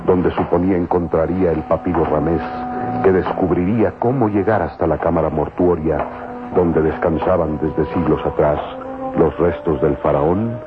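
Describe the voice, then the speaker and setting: Very deep-voiced, solemn male radio narrator. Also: steady tone. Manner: solemn, grandiose, measured. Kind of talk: scripted dramatic narration, old radio serial. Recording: muffled old phone-like radio, loud music. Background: dramatic music.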